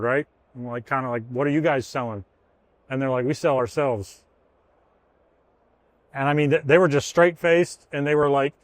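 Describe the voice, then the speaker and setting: Man from Pennsylvania, podcast guest, easygoing, matter-of-fact, mid pitch. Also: no room sound. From Pennsylvania, near Philadelphia. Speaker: guest, company founder and former Marine. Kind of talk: casual interview.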